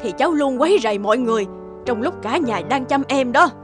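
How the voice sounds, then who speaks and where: A stern, doting old grandmother from a cartoon. Her voice is fairly high and very animated as she scolds and exclaims. Music plays clearly behind her. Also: quick pace. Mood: scolding. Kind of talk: cartoon voice acting.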